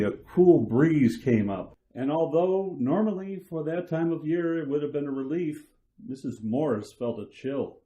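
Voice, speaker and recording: A man narrating a scripted suspense radio drama in a deliberate, dramatic way. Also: medium pitch, mellow, poor audio. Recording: music behind the narration.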